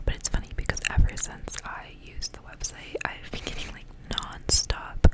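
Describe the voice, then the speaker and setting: A young woman speaks in a breathy, unvoiced whisper. Her delivery is soft and informal, in the manner of an ASMR creator chatting casually to the listener. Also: normal speed. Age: young adult. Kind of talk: ASMR intro and casual life update.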